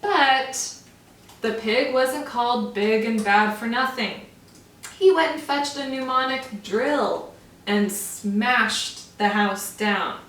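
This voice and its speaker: A woman's voice: on the high side, takes it slowly, a bit bright, slight background noise. A woman teacher reading a picture book aloud to young children, stopping to explain words. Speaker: first grade teacher. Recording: echoey room, mic far off.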